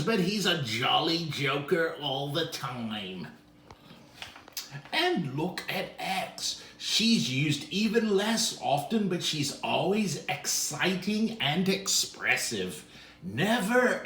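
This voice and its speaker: A man voicing an earnest alphabet letter, coaxing and gently scolding, in a children's story. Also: on the high side, sing-song, slow, reverberant, heavily acted. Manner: coaxing, admonishing.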